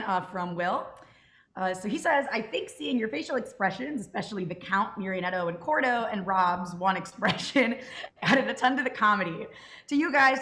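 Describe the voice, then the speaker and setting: A young woman hosts an informal online question session. Her voice is mid-pitched, with a roomy echo around it, as picked up indoors on a group call. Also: a bit bright. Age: young adult. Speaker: Q&A host, club writer. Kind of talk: informal event hosting.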